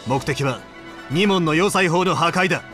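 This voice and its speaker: An actor playing a stern, driven young military squad leader in a Japanese video game. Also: male voice, on the high side, moderate pace, fairly bright tone, natural voice. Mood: commanding. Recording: music behind the voice.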